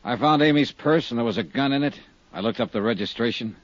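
A man's voice: mid-range voice, fast talker, no room sound, natural voice. An actor playing an earnest, dogged male insurance investigator in a 1950s radio drama, with pressing, clipped delivery. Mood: guarded. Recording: muffled 1950s radio recording with music.

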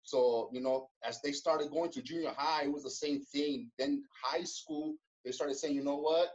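A man telling his story as an interview guest, in a relaxed way full of street slang, fillers and restarts. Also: fairly high pitch, moderate pace, lo-fi sound. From Los Angeles. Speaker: interview guest, former gang member.

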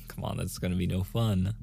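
A man's voice: low-pitched, gravelly, dark tone, full character voice. A man playing a playful, easily flustered young gamer boyfriend in a casual, intimate ASMR roleplay. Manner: casual, intimate, with chuckles and sighs.

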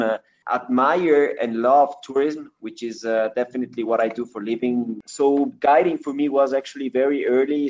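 Halting man from Ecuador, muffled sound. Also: mid-range voice, darkish tone. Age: 30s. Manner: halting, many fillers and restarts. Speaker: mountaineer guest. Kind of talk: interview answers.

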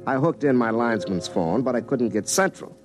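A man's voice: medium pitch, animated, fairly quick, music in the background, lightly acted, rough recording. An actor playing a folksy young American telephone linesman and tinkerer in classic radio drama, stammering and correcting himself as he rambles. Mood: rambling narration. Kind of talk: classic radio drama acting and first-person narration.

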